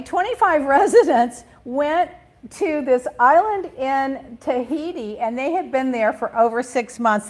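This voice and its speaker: A chatty middle-aged woman hosts a talk show in a high, bright-pitched voice. She moves fast from gossip to news and drops blunt opinions and playful asides along the way. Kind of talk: casual gossip and news chat.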